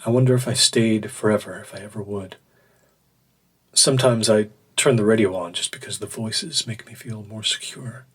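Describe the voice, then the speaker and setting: A lonely, contemplative recluse with a husky, slightly low voice. He gives short, uneasy journal entries in an audio drama monologue. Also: moderate pace. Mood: quiet, uneasy. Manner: terse, contemplative. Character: solitary man in a remote shack, uneasy, journaling.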